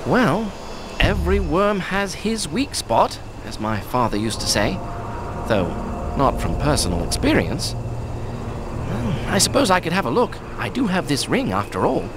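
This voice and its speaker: A man's voice: a little high, sing-song, measured pace, background noise. A man playing a small, polite, nervous but plucky hobbit burglar, earnest and theatrical, in a video game. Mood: hesitant, thoughtful. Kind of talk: video game character acting. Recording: loud music under the voice.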